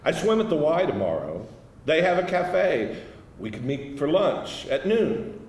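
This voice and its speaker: A man playing a gentle, smitten big teddy bear of a man in a live screenplay reading. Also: on the high side, sing-song, measured pace. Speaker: actor in a live table read. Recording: echoey hall, distant mic.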